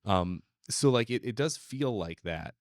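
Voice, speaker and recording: A man co-hosting a podcast, chatting casually and haltingly as he thinks aloud. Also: lowish voice, lively intonation, no room sound, studio quality. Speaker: podcast co-host. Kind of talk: casual chat.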